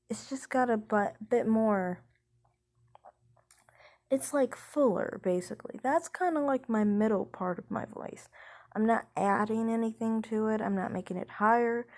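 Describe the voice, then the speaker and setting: Slow, rambling young woman singer. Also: on the high side, darkish tone. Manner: rambling, hesitant, many fillers; speaks slowly. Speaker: singer talking about her voice type. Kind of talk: casual vlog chat.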